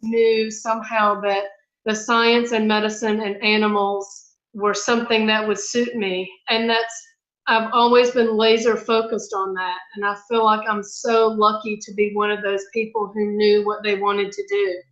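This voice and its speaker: A woman's voice: medium pitch, level tone, unhurried, a bit bright. A woman from North Carolina with a Southern American accent, a zoo vet chatting in an interview, enthusiastic and talking in long runs. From North Carolina, USA. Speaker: guest, zoo veterinarian. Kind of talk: casual interview chat. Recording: roomy, dull remote audio.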